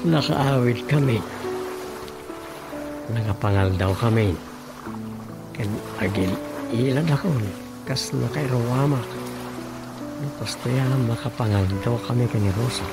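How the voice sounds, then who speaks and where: A man's voice: medium pitch, measured pace, long pauses, loud music behind the voice, lightly acted. An actor with an Ilocano accent playing a chatty, curious townsman in a radio drama. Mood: puzzled.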